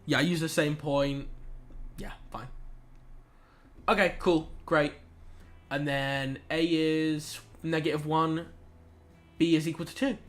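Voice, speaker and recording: A man's voice: on the high side, fairly even intonation, slow pace, pauses often, a bit bright. A young man in casual live-stream chat, laid back and chatty, reading out chat and muttering as he works through maths questions. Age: young adult. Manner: laid-back, chatty slang, reads chat aloud and mutters while working.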